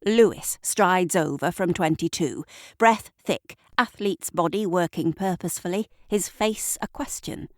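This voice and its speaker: A woman reading scripted literary narration for an audio drama in a deliberate, dramatic storytelling manner. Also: lowish voice, dry recording, clean studio sound.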